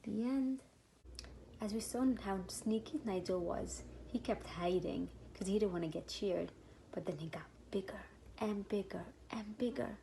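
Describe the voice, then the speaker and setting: A woman's voice: mid-range voice, unhurried, vocal fry, dark tone, a little hiss or hum, low-quality recording. A woman reading a picture book aloud to young children, expressively and simply, with short explanatory asides.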